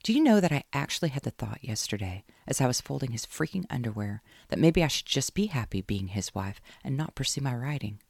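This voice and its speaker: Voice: medium pitch, big pitch swings, fairly quick, creaky, hardly any pauses, a little mellow, no echo, studio quality. A reader voicing a lost, doubting woman writer. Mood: weary.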